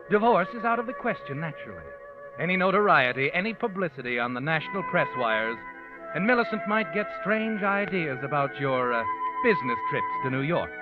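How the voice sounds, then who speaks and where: Sinister old-radio narrator, swooping pitch. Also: male voice. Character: sinister omniscient male narrator, knowing and mocking. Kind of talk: 1940s radio suspense narration in second person. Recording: dull lo-fi old radio recording. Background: dramatic music.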